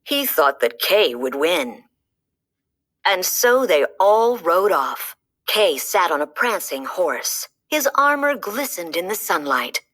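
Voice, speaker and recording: A woman reads a children's storybook aloud in a slow, unhurried way. Her voice sits a little low but swoops up and down with lively expression. She leans into each line like a storyteller. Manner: slow, lively storytelling with dramatic pitch swings. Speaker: storybook reader. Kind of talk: children's read-aloud narration.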